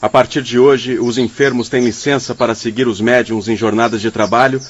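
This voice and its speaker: A man's voice: mid-range voice, fairly even intonation, brisk, lo-fi sound, close to an everyday voice. An actor with a Brazilian accent playing a dignified, benevolent male spirit leader in a radio drama, formal and authoritative. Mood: courteous, assured.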